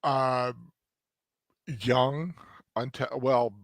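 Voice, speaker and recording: A man co-hosting a casual review discussion, talking in a hesitant, halting way with many restarts. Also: mid-range voice, fairly even intonation, no echo. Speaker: co-host.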